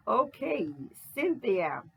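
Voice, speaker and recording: A woman who writes books, talking casually to camera in an unhurried way and reading reviews aloud. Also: medium pitch, sing-song, vocal fry, husky, low-quality recording. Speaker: author and book channel host.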